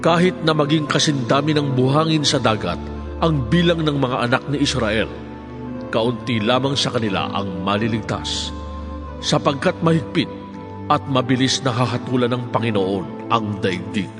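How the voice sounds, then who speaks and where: A man's voice: medium pitch, normal speed, over music, natural voice. A man playing a solemn, declamatory voice of prophets and God in a dramatized Bible reading. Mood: grave warning.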